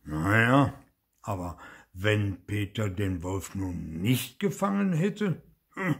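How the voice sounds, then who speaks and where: A man's voice: fairly low pitch, sing-song, slightly rough, exaggerated voice. An old man as a grumbling old grandfather. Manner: slow grumbling warnings.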